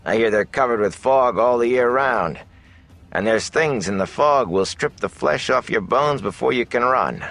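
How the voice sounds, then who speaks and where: A man voicing a chatty, excitable middle-aged innkeeper with folksy, gossipy talk in a fantasy audiobook. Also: medium pitch, normal speed, vocal fry, faint music behind, voice pushed up. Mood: excited, gossipy. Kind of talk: fantasy audiobook character dialogue.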